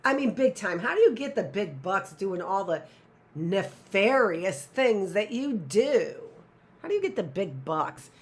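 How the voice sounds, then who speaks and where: A woman's voice: medium pitch, sing-song, unhurried. A middle-aged woman teaching a live online class, rambling with sarcastic asides and talking to herself.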